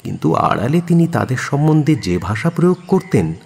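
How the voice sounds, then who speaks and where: A man narrating a suspense story in a dramatic scripted style, with a husky voice. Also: medium pitch, sing-song, fairly quick, a little mellow, long reverb tail.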